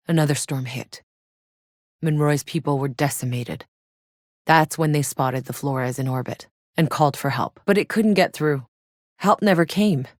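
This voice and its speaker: A woman's voice: sits low, no echo, clean studio sound. An actress playing a tough, sardonic female soldier and explorer in a sci-fi video game, blunt and matter-of-fact. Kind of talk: scripted video game character voice lines.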